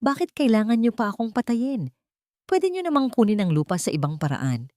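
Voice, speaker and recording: A woman's voice: fairly high pitch, very animated, a little fast, mellow, dry recording, studio quality, pitched above the performer's own voice. Woman acting a shaken but defiant young heroine. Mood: shaken, accusing. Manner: trembling but firm, confronting.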